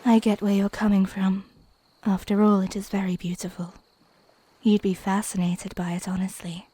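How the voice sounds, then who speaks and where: A woman playing a flirty, teasing mermaid in an intimate ASMR roleplay, coy and playful with breathy half-whispers. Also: mid-range voice, level tone, normal speed, dark tone, natural voice. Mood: coaxing.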